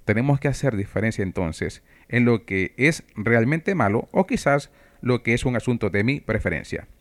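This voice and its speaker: A man from Venezuela with a Venezuelan accent, preaching for radio in a measured, didactic way. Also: medium pitch. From Trujillo, Venezuela. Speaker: preacher and radio program host. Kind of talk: sermon talk for radio.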